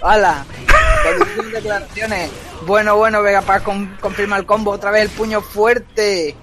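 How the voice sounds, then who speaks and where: A man doing fast, excitable play-by-play commentary on a fighting game, joking and shouting at big moments. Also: high voice, over loud music. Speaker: esports commentator.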